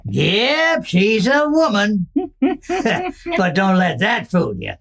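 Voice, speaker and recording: A man playing a gruff, seasoned outlaw henchman in a Western radio play, raspy and bossy, with an American Western drawl. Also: high voice, very animated, slow pace, fairly bright tone, heavily acted. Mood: admiring, amused. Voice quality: gravelly, raspy.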